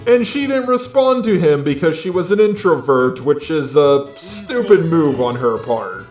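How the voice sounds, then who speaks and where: A man's voice: fairly high pitch, animated, a little slow, darkish tone, reverberant. A man giving scripted YouTube commentary in a blunt, sardonic way, with casual swearing. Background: background music.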